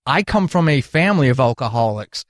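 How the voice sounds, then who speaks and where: A man's voice: mid-range voice, lively intonation, brisk, no room sound, studio quality. A middle-aged man telling candid, earnest stories at length as an interview guest, with emphatic phrasing. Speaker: podcast guest, retired military counselor.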